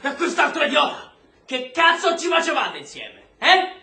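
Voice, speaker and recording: Male actor as brutal military interrogator, stage drama. Sharp, high, hectoring; echoey, off-mic, faintly noisy recording. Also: big pitch swings, moderate pace, gravelly, far from the mic, heavily acted. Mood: furious. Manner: bullying, hammering repeated questions. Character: aggressive, foul-mouthed military interrogator bullying a prisoner.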